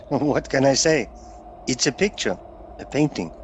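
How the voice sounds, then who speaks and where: An actor in an audio play playing a mysterious, welcoming hunter who lives inside a painting. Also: male voice, mid-range voice, expressive, slight background noise, lightly acted. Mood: amused, welcoming. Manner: slow, welcoming.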